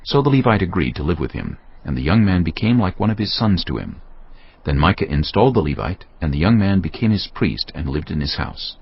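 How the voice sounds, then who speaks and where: Very deep, gravelly male narrator. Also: sing-song, a little fast, highs cut off. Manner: solemn, storytelling. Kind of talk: dramatised scripted Bible narration. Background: music.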